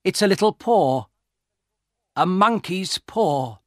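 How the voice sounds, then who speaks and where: A man voicing a grave old soldier in a storybook, warning others slowly and solemnly. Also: a little high, very animated, no room sound, studio quality, pitched above the performer's own voice. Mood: grave, mysterious.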